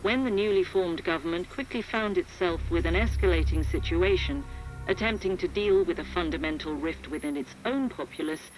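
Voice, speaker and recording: An actress playing a composed, serious war correspondent, giving a measured and articulate scripted report over a radio link. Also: female voice, lowish voice, faint music behind. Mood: grave, measured. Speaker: field reporter. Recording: noisy radio field report.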